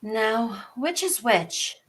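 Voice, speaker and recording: A woman's voice: fairly high pitch, lively intonation, extremely slow, a bit bright, full character voice. A woman voicing a polite, bewildered but plucky little girl in a storybook live read, earnest and prim. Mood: puzzled. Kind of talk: live reading of an abridged classic story.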